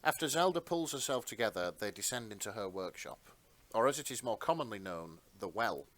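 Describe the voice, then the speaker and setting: Lower-voiced man narrating fan fiction dramatically. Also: lively intonation, a little fast, fairly bright tone. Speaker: narrator, podcast host.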